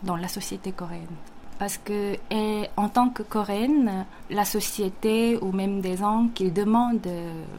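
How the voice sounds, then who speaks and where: A woman's voice: medium pitch, unhurried, warm tone, slight background noise. Hesitant Korean-accented young woman. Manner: hesitant, frequent fillers, careful non-native phrasing. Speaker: comic book artist guest. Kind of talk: interview answers.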